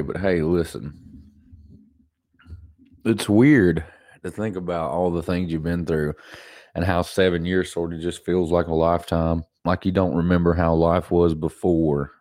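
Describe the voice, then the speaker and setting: A man's voice: low voice, darkish tone, no echo, studio quality. A man hosting his own podcast, stuffed up with allergies, talking casually and rambling, often repeating himself. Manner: rambling, repeats himself, self-deprecating laughs. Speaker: podcast host. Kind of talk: casual solo podcast monologue.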